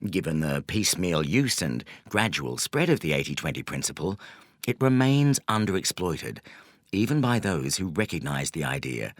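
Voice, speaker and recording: A man reading a nonfiction audiobook as narrator, in a scripted style with measured, deliberate phrasing. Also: low-pitched, very animated, no echo, studio quality.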